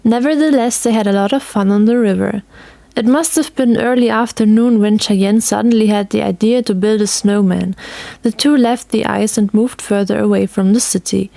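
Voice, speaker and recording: A woman's voice: mid-range voice, vocal fry, warm tone, no room sound. A woman narrator reading a scripted story.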